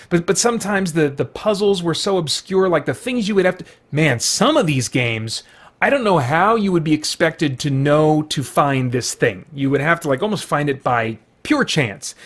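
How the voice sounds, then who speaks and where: A middle-aged man hosting a video series, talking casually and rambling about video games. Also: on the high side.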